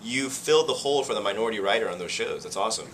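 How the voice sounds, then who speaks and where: A man's voice: fairly low pitch, fairly bright tone, a little hiss or hum, low-quality recording. A man hosting a radio talk show, teasing his guest in casual banter. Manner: teasing, quick-witted. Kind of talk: casual comedic chat.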